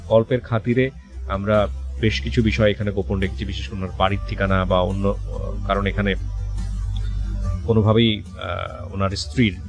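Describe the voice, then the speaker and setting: A man with a Bangladeshi accent hosting a radio show, speaking in a measured way with hesitant pauses as he interviews and addresses listeners. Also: on the low side, level tone. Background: music under the voice.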